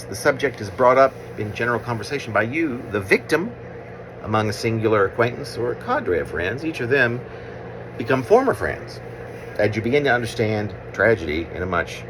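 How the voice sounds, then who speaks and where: A man reading his own literary poem aloud with deliberate phrasing, pauses and repetitions. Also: mid-range voice, background noise. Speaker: poet reading own work.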